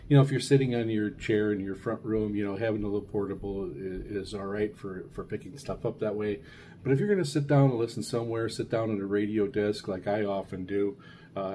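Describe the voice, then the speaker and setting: A middle-aged man with a fairly deep, soft-edged, dark voice talks through an informal review. He speaks hesitantly and wanders as he goes. A faint layer of noise sits behind him. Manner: halting, rambling, hesitant delivery. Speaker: radio hobbyist reviewer, show host. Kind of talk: casual unscripted product review. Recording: clear steady background noise.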